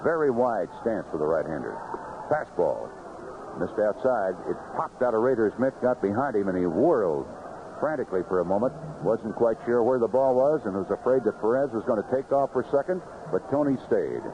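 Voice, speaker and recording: A man's voice: lowish voice, animated, fairly quick, dull audio, noisy background. A man calling baseball play-by-play on 1970s radio in clipped phrases with vivid descriptive calls, on a band-limited old broadcast. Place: ballpark broadcast booth.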